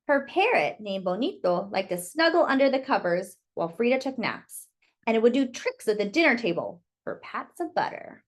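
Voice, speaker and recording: A woman with a fairly high, very animated voice reads a picture book aloud for young children. She delivers the story expressively and turns to her listeners with exclamations and asides about the illustrations. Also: moderate pace.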